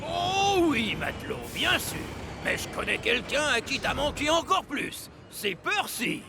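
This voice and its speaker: A man voicing a jolly old sailor-like cartoon locomotive with gravelly, drawn-out salty talk. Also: extremely high pitch, sing-song, music in the background, heavily acted. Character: hearty old sea-dog dockside diesel locomotive, jolly.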